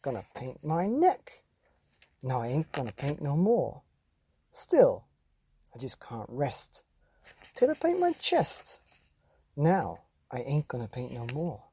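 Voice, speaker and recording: A man's voice: medium pitch, wildly swooping pitch, dark tone, slightly rough, heavily acted. A man voicing a mischievous, gleeful child in a playful sing-song storybook rhyme. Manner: playful, exaggerated, drawn-out rhymes. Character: mischievous child gleefully painting own body, chanting rhymes. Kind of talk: sing-song rhyming picture-book reading.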